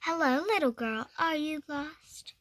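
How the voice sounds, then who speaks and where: Storybook big bad wolf, played by a young girl: sly, greedy, coaxing, high and slow. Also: fairly bright tone, no echo.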